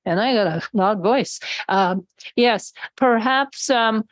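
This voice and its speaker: Woman councillor at a desk mic, polite and halting with lots of filler pauses, very dry close sound. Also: on the high side, no echo. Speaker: town councillor. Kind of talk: council meeting questions. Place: council chamber. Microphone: desk microphone.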